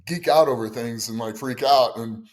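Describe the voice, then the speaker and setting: A man's voice: medium pitch, normal speed. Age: middle-aged. Sex: man. Style: casual podcast chat. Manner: excitable, stammering restarts, animated storytelling. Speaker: podcast host.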